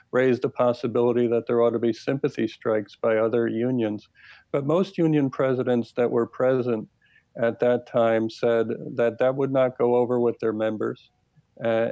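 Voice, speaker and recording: Muffled, mellow American man, measured delivery. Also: medium pitch, no room sound. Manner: measured, deliberate. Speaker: expert guest, labor history professor. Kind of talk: explanatory interview answers. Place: plain room indoors. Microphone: earbud headset on video call. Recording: muffled, lo-fi video call audio.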